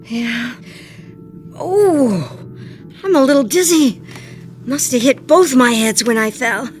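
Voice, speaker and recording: Slow-talking, high-voiced rustic farm wife. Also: female voice, lively intonation, soft background music. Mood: relieved, tender. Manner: folksy, plainspoken, rustic phrasing. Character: stubborn, frightened, injured female alien farm wife with two heads. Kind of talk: suspense radio drama acting.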